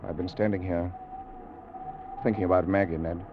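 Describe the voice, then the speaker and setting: A man portrays a haunted ship's captain in an old radio play, low in voice and halting, heavy with grief. He is stubborn and commanding. The recording is muffled and hissy vintage radio. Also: fairly even intonation, lightly acted. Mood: brooding. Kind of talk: 1940s radio drama acting.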